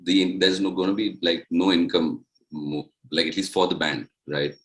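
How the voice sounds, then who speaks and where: A young man from India with a deep, low voice speaks in a relaxed, rambling way, leaning on "like" as he talks. He is a musician answering questions in an easygoing interview. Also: moderate pace, low-quality recording. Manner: relaxed, loose, many "like" fillers. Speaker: musician, duo member. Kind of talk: casual interview chat.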